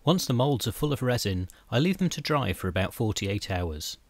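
A middle-aged man calmly narrating a scripted woodworking how-to, step by step, as the channel's host. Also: mid-range voice, normal speed, dry recording. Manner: calm, methodical step-by-step explanation.

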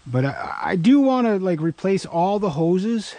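A middle-aged man with a Canadian accent and a slightly high voice. He talks in a loose, rambling way, working through his thoughts out loud. It sounds like a casual vlog recorded outside on an action camera. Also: very animated, measured pace, no room sound. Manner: rambling, thinking aloud. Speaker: vlogger. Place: outdoors in a grassy yard by a pickup truck. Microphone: action camera worn on body or held at arm's length.

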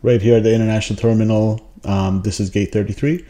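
Casting: male tutorial host, low pitch, dark relaxed tone, casual explanatory delivery. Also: quick pace.

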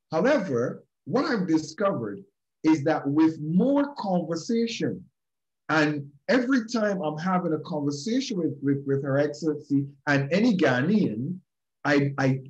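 Emphatic high-voiced Barbadian man on a video call. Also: lo-fi sound. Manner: emphatic, repeats phrases, addresses listeners directly. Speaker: group session speaker. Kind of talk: preachy motivational talk.

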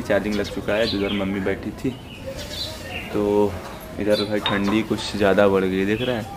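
A young man talking casually to a camera he holds at arm's length, narrating his day and calling his viewers "bhai". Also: on the low side. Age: young adult. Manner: chatty, keeps saying "bhai". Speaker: vlogger. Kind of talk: casual selfie vlog. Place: outdoors, home and gym. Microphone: camera held at arm's length. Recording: echoey with loud street noise.

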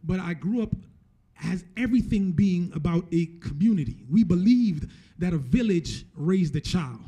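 A man in his thirties preaches with a high, mellow voice. He talks to his congregation conversationally, circling back over his phrases and checking in with "right?" as he goes. He is on a handheld microphone. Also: lively intonation, normal speed, slightly rough. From Jamaica, moved to the US at eleven. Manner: repeats and restarts phrases for emphasis, often tags points with "right?". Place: church stage, indoors. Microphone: handheld microphone held close.

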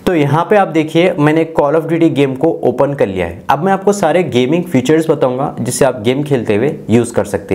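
A man's voice: fairly high pitch, darkish tone. A man in his twenties with an Indian accent, presenting a tech walkthrough step by step and mixing in English technical terms. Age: 20s. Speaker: tech reviewer and channel host. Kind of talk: scripted-sounding phone feature walkthrough.

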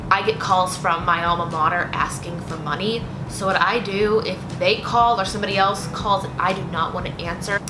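A young woman in her twenties from the United States giving casual, lively advice to camera in a small indoor room, with punchy little asides. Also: mid-range voice, background noise. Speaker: lifestyle vlogger. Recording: roomy with background music.

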